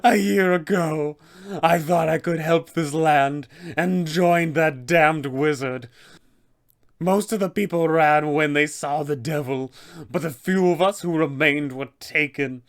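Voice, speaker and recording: A man's voice: fairly high pitch, pitched above the performer's own voice. Man acting out a desperate, starving vampire spawn, pleading. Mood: bitter, sorrowful. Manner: pleading, drawn-out, anguished delivery. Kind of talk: dramatic fantasy roleplay villain monologue.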